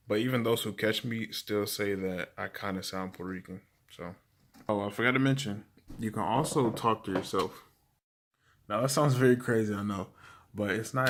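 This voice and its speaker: A young man giving casual language-learning tips to camera, talking in a relaxed, rambling way with many fillers and restarts. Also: fairly low pitch. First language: English.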